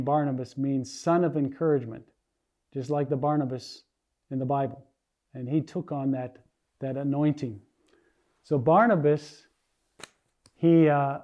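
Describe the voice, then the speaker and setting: A man's voice: medium pitch, warm tone, highs cut off. An older man from the United States giving an earnest sermon testimony, telling his story with frequent restarts. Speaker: preacher.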